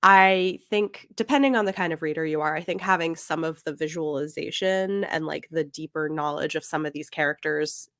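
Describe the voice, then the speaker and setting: A woman with a slightly low-pitched voice, recorded dry and close. She co-hosts a podcast and talks casually about books. Her way of speaking is tentative and conversational. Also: normal speed, hardly any pauses, fairly bright tone, no room sound. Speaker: podcast co-host. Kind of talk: casual book discussion.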